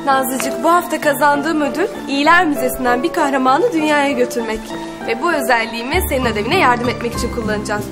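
A woman's voice: high-pitched, fast talker, lightly acted. A young woman playing a kindly good fairy in a children's fantasy TV drama. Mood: cheerful, gentle. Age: young adult. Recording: loud music under the voice.

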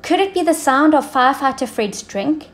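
A woman's voice: high-pitched, lively intonation, normal speed, pitched above the performer's own voice. A woman voicing an eager, inquisitive storybook queen who is helpful and a little quarrelsome. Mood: suggesting.

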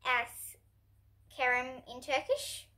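A young girl of about ten to twelve reading a children's storybook aloud in an expressive way. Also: sits high, slow, background noise. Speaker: host reading picture books for kids. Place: indoor room in front of bookshelves.

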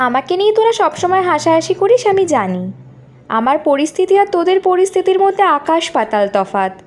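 Earnest, high-pitched young college girl. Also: big pitch swings, fairly quick, soft background music. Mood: hurt, dignified. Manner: earnest, polite, explanatory. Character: earnest, hardworking young college woman of modest means. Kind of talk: Bengali animated story dialogue.

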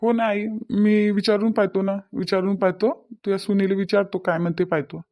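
A man's voice: sits high, little pitch movement, normal speed. A man playing an earnest, dutiful husband and son in a cartoon, coaxing and repeating himself in a Varhadi Marathi accent from Vidarbha. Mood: placating. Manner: repetitive, reasoning, persuasive. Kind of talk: cartoon dialogue. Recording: dull, lo-fi sound.

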